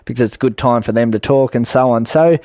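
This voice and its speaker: A man's voice: mid-range voice, quick pace, darkish tone, no room sound. A man with a mid-range voice gives explanatory answers as an interview guest. The sound is dry, with very little room around it. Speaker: guest business expert.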